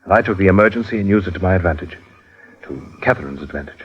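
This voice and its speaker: An actor playing a guilt-ridden, desperate estate owner who has killed, in an old radio drama. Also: male voice, low voice, animated, fast talker. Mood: grim, resigned. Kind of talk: 1950s radio drama acting. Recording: muffled old radio recording.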